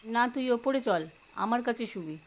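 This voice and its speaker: A woman's voice: fairly high pitch, lively intonation, measured pace. An anxious, insistent mother. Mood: worried, pleading. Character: anxious, insistent, protective middle-aged mother. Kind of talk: audio drama acting.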